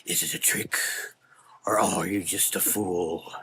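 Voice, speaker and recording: A man's voice: deep voice, fairly even intonation, sharp tone, voice pushed down, heavily acted, low-quality recording. Man voicing a sinister, taunting shadow avenger. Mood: sly, taunting. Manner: smooth, taunting, deliberate. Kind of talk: gothic horror audio drama.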